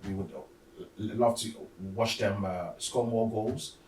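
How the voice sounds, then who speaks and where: Halting, stammering man in an echoey room. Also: on the low side, fairly even intonation, darkish tone. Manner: halting, stammering, repeating words. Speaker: football discussion panellist. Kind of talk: unscripted sports discussion. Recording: roomy echo, distant mic, faint noise.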